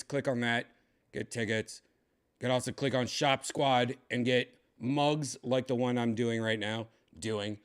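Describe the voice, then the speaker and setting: A tipsy man with a raspy, dry-throated voice, chatting casually as a comedian hosting his livestream, rambling and clearing his throat often. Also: mid-range voice. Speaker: comedian streaming host.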